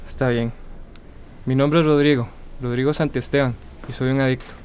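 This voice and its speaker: An actor with a Costa Rican accent playing a remorseful young recovering addict in a radio drama, speaking hesitantly and confessionally. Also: male voice, medium pitch, steady tone, normal speed, a little mellow, slight background noise, natural voice. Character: remorseful young male recovering addict, self-doubting but resolute. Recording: music under the voice.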